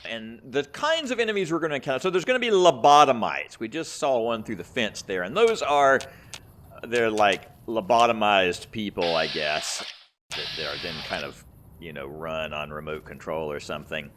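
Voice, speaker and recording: Lively low-voiced man narrating gameplay. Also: moderate pace, a little hiss or hum. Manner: rambling, thinking aloud. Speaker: gaming channel host. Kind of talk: casual gameplay commentary.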